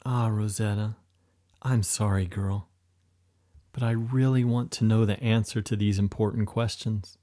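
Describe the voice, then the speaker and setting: A man voicing an emotional special agent in an audiobook, tender and frightened by turns, talking higher than his narration. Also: fairly even intonation, a little slow, mellow, close to an everyday voice. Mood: hushed.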